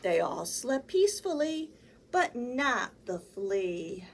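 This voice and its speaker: A woman's voice: a little high, very animated, slow pace. A woman reading a children's picture book aloud as a storytime librarian, with theatrical, sing-song emphasis. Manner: theatrical, sing-song emphasis with acted animal sounds and sneezes.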